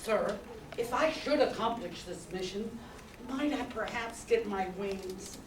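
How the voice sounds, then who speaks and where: A woman's voice: medium pitch, expressive, unhurried, natural voice. An older woman playing an eager, innocent apprentice angel, two centuries old, in a radio play. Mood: polite, hopeful. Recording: roomy, distant stage recording with noise.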